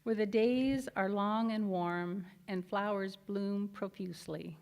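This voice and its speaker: Soft-spoken, nervous woman poet. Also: mid-range voice, fairly even intonation, slow. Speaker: guest reader and poet. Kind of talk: personal story and poetry reading.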